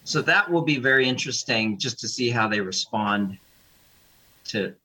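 A man's voice: mid-range voice, lively intonation, normal speed, pushed and bright, breathy edge, poor audio. A man who is a sports chaplain and coach, giving reflective, moralizing commentary on a casual talk panel.

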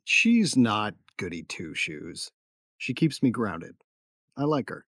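A man's voice: fairly low pitch, very animated, slow, a bit bright, dry recording, clean studio sound, heavily acted. A man playing a tough young gunfighter and protective big brother in a sci-fi audio drama, matter-of-fact and wry. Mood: teasing, exasperated. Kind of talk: first-person narration and dialogue in sci-fi audio drama.

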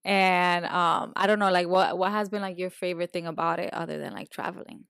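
A woman's voice: fairly low pitch, fairly even intonation, fairly quick, creaky, fairly bright tone, clear, resonant tone, dry recording, clean studio sound. A woman hosting a podcast, asking questions in a casual way with lots of fillers and backchannels. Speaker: podcast host. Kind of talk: casual interview chat.

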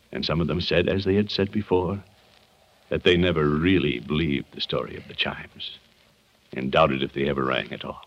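An American actor in 1950s radio style playing a kindly, sheepish middle-aged man who tells a Christmas story expressively and unhurriedly. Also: a bass voice. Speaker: lead actor of a radio comedy. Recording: dull vintage 1950s radio recording.

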